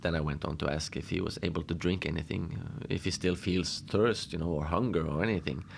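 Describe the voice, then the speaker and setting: A man playing a deadpan lone adventurer who tells his odd tales slowly and conversationally, rambling with halting asides, in a cozy horror audio drama. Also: deep voice, creaky, a little hiss or hum. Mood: deadpan, musing.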